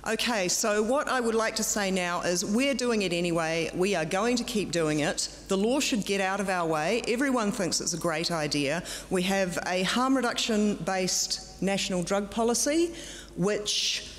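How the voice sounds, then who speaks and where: A middle-aged woman from New Zealand giving a formal presentation at a podium, firm and direct with a measured delivery and some fillers. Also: mid-range voice. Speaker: conference speaker. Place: large conference room with audience. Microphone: podium microphone. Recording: long hall reverb.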